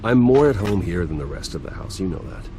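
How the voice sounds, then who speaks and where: A guarded billionaire vigilante talks in a very deep, gravelly voice. His delivery is terse and dryly understated, as in a dark game drama, with clear ambient noise behind him. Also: big pitch swings, a little fast. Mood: wry. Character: brooding billionaire vigilante, adult man, guarded and wry. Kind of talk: dramatic video game voice acting.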